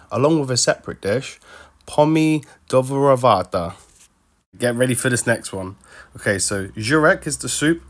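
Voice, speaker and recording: A young British man narrating a travel vlog with dry, self-mocking humor. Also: mid-range voice. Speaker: travel vlogger.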